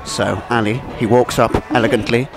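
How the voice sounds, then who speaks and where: A man's voice: medium pitch, steady tone, moderate pace, creaky, rough recording. Playful young man, music behind. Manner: teasing, narrating friends' moves like a commentator. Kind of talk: playful mock commentary, casual chat. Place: indoor bouldering gym.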